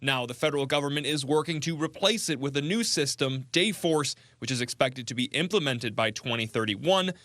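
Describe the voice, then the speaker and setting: A man's voice: medium pitch, brisk, hardly any pauses, pushed and bright, no echo. A man narrating a scripted television news report as a reporter.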